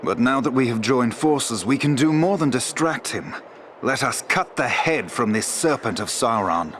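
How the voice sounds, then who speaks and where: A man's voice: medium pitch, expressive, fairly bright tone, a little hiss or hum, close to an everyday voice. Man playing a noble elf warrior lord. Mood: grave, resolute. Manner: formal, measured, archaic phrasing.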